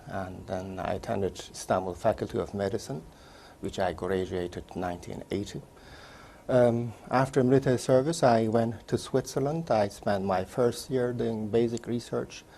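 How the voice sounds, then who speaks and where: A man in his sixties from Turkey speaks in a low, heavily gravelly voice with a dark, mellow tone. He answers in a measured, step-by-step way, and faint room ambience sits behind him. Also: steady tone, a little hiss or hum. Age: 60s. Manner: measured, recounting career step by step. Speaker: interviewee, neurologist. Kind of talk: oral history interview.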